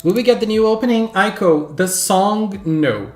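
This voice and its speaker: A man whose first language is Portuguese, hosting a casual livestream and chatting with viewers in an animated, gushing way, squealing greetings. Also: high voice, moderate pace. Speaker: livestream host. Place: home room. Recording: echoey room with faint background music.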